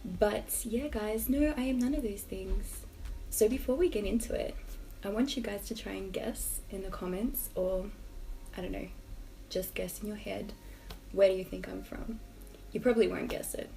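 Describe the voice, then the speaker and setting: A young woman with an Australian accent, chatting casually to her viewers. Her talk wanders and hedges. Her voice has a gravelly, creaky edge at a mid pitch. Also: normal speed, warm tone, rough recording. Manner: chatty, rambling, hedging with "I don't know". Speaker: vlogger. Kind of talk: casual talking-to-camera vlog.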